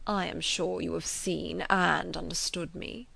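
Ardent gentleman suitor for a period audiobook, played by a woman: effusive, self-important, easily affronted, dry close sound. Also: medium pitch, expressive, normal speed, vocal fry, no room sound. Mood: insinuating, joyous. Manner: effusive, pompous, insinuating. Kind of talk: dramatised classic novel reading.

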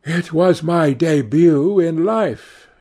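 A man's voice: a little high, takes it slowly, warm tone, husky, pitched above the performer's own voice. A man voicing a witty, coquettish aristocratic duchess in an audiobook, in an arch manner. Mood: wistful, arch.

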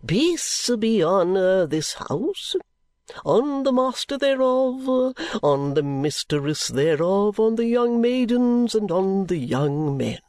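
An oily, self-important preacher drawls his blessings in slow, stately phrases, his pitch swinging high and low, as a reader puts him on. The sound is dry and close. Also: big pitch swings, a little mellow, no room sound, heavily acted. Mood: unctuous. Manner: slow, grandly sermonizing with long pauses. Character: oily pompous large male preacher.

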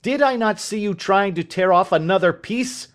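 Audiobook role: furious, accusing father of mature years, played by a male reader, declamatory, raised pitch. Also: moderate pace, lightly acted. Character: outraged banker father, shouting at his son. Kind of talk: audiobook character dialogue.

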